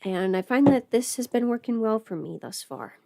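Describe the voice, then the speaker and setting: A woman's voice: fairly high pitch, lively intonation, moderate pace, creaky, dark tone, no echo. A woman chatting informally on a livestream, rambling and thinking aloud as she goes. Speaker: livestream host, audio creator. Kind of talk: casual livestream chat.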